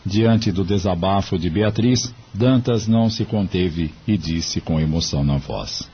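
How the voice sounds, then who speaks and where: A man's voice: sits low, measured pace. A Brazilian man narrating an audio drama in a scripted, dramatic style. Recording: muffled lo-fi audio, clear background noise.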